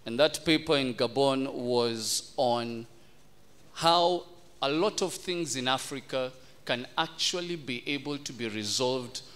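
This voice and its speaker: A man moderating a formal panel discussion, posing measured questions and slipping into Swahili. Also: medium pitch, a bit bright, clean tone.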